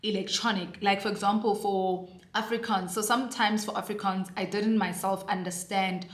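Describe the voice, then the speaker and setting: A woman's voice: mid-range voice, fairly even intonation, moderate pace, reverberant. A South African woman in her thirties, a homeschooling mother, chatting earnestly through a curriculum review with many fillers and restarts. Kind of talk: casual review to camera.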